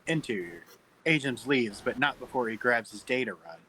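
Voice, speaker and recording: A man narrates a sci-fi script at a table read. His voice is bright and forceful at a medium pitch, announcing scenes and reading out the stage directions. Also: lively intonation, normal speed, faint music behind, low-quality recording.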